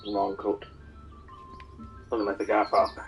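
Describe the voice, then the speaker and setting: A man's voice: on the low side, completely flat delivery, measured pace, fairly bright tone, soft background music. A man chatting casually as a player in a tabletop role-playing game.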